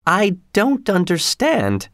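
A proud older theater lady, played by a male narrator, speaks high and swooping. She is emphatic and easily flustered, like a character from a storybook reading, in a dry close recording. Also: wildly swooping pitch, slow, a bit bright, dry recording, full character voice. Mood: polite, earnest. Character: indignant older French woman, proud theater attendant.

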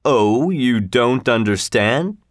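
A skeptical theater manager, voiced by a male narrator, probes with pointed questions. The delivery is expressive, in a dry storybook recording. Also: mid-range voice, a little slow, dry recording, lightly acted.

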